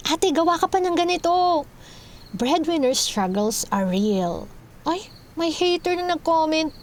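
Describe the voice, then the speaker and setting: An actress playing a teasing, excitable younger sibling with a Filipino accent in a comedic radio drama. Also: female voice, extremely high pitch, unhurried, a little hiss or hum. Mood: excited. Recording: faint music behind the voice.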